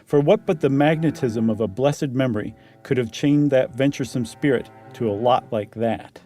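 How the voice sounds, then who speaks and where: A man's voice: medium pitch, normal speed. A man co-hosting a podcast, reading a horror short story aloud and chatting casually, with music behind him. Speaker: podcast co-host.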